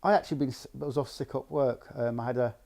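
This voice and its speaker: A man who runs a coffee shop, talking casually in an interview in a halting way, with restarts and ums. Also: medium pitch, vocal fry, darkish tone.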